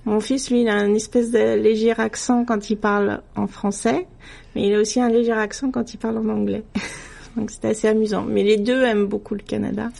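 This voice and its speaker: A middle-aged woman from France, a radio guest talking in a relaxed, thoughtful way with many hesitations and restarts. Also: mid-range voice, a little fast, creaky. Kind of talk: casual conversational interview.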